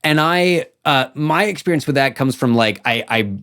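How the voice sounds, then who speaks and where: Halting, conversational male podcast host. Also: medium pitch, animated, a bit bright, smooth. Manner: halting, circling toward his point, frequent agreeing interjections. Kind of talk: casual interview chat.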